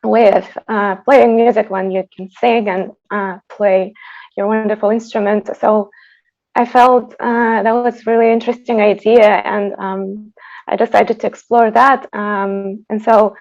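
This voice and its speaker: A woman who is a native Tatar speaker, giving an informal, reflective introduction as a composer, with halting phrasing, over a video call. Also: mid-range voice, a little mellow, highs cut off. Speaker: composer introducing her piece. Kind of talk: informal spoken introduction at an online concert.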